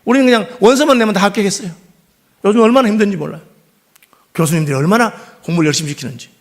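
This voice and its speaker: A middle-aged male pastor preaching a sermon in a church, speaking with a noticeable regional dialect and telling stories lively, acting out the people he quotes. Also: sits high, racing. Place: church sanctuary.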